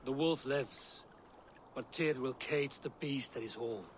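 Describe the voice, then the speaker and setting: A man's voice: mid-range voice, a little slow, lightly acted. A man voicing a stern brooding god-king. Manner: grave, commanding, suspicious. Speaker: game character. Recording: game audio with steady noise.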